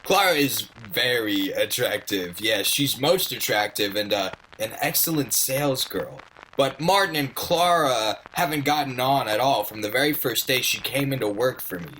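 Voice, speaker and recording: A man's voice: mid-range voice, lively intonation, normal speed, sharp tone, a little hiss or hum, rough recording. A man playing a proud, chatty shopkeeper who tells his story in a rambling, emphatic, old-time radio manner. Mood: fond, chatty. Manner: rambling, self-interrupting, emphatic. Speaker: narrator in character.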